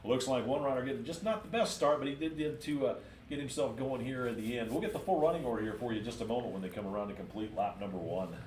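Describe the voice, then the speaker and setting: A man with a big booming voice, a race announcer calling the start and describing a vintage motorcycle field. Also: fast. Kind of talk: race commentary. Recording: roomy, distant, loud noise.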